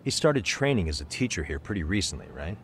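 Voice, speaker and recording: An actor playing a calm, streetwise private detective in a video game dub, with a cool, probing, wry delivery. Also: male voice, low-pitched, fast talker, a little hiss or hum. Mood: gentle, questioning.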